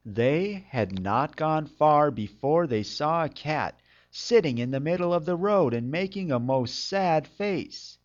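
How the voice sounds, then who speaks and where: A man narrating a fairytale as a storyteller, with expressive delivery. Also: a little high, slow, dull audio.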